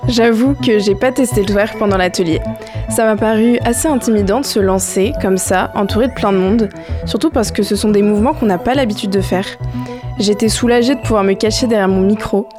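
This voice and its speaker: A woman hosting a community radio show, first reflecting in a calm, measured voice on her own experience, then interviewing a guest in the studio. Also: mid-range voice, over music.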